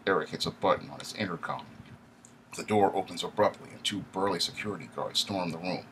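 A man in his thirties reading his own novel aloud in a steady first-person narration, recorded at home on a laptop mic. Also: sits low, fairly quick, slight background noise.

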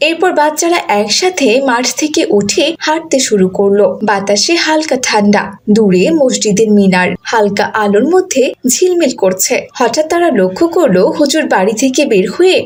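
A woman's voice: fairly high pitch, animated, moderate pace, few pauses, husky. A woman reading scripted narration for a children's story.

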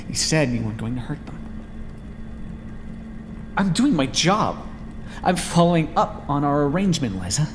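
A man performs a desperate, sardonic vampire scientist in an audio roleplay. His speech is formal and dramatic, swinging widely in pitch with a gravelly fry, inside a reverberant, noisy space. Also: close to an everyday voice. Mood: indignant, defiant. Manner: dramatic, formal wording, often dropping to a whisper. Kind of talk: ASMR roleplay voice acting. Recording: hall-like reverb with clear background noise.

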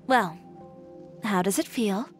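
Cool confident young woman designer in a game, wry, mid pitch, soft music behind. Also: lively intonation, takes it slowly. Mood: composed. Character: assertive young female fashion designer, proud and composed. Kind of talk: English video game voice acting. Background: background music.

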